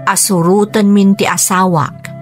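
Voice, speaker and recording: A woman's voice: mid-range voice, fairly even intonation, a little slow, natural voice. An actress playing a long-suffering, middle-aged rural wife and mother in a radio drama, with an Ilocano accent. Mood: sorrowful. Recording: music under the voice.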